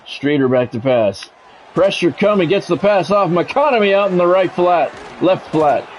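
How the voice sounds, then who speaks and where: A man's voice: high-pitched, expressive, moderate pace, poor audio. A man works as a football play-by-play announcer. He calls the action in short, clipped phrases. There is faint noise behind him. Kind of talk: American football game commentary.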